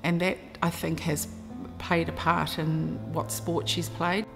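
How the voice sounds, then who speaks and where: A woman's voice: low voice, little pitch movement, slow pace, gravelly. A middle-aged woman telling stories about her daughter in a documentary interview. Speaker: athlete's mother. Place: room lined with framed photos. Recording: music underneath.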